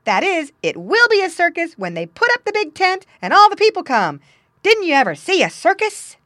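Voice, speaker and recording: A woman voicing a merry, boastful little male circus monkey in a storybook, chatty, excitable and knowing. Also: very high voice, normal speed, no echo, studio quality, voice pushed up, exaggerated voice. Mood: excited, knowing.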